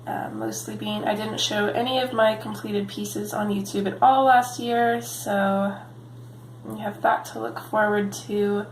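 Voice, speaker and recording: A woman's voice: medium pitch, a little slow, echoey room, slight background noise, poor audio. A woman hosting a coloring video, talking casually with lots of ums while she works, a bit sniffly from a cold. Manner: relaxed rambling with frequent ums. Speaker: coloring channel host. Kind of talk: casual chatty coloring tutorial.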